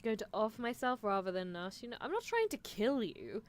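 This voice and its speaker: A woman's voice: fairly high pitch, very animated, moderate pace. A woman streaming an improvised ASMR roleplay as a scheming, possessive anime mad scientist, muttering in theatrical exasperation. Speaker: streamer performing character roleplay.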